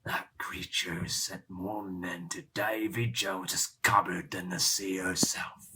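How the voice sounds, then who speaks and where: Aging pirate captain: very low voice with a bright, forceful edge, grave theatrical storyteller, boastful, archaic seafaring talk. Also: male voice, fairly even intonation, slow pace, slight background noise, heavily acted. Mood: ominous. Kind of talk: audio drama storytelling.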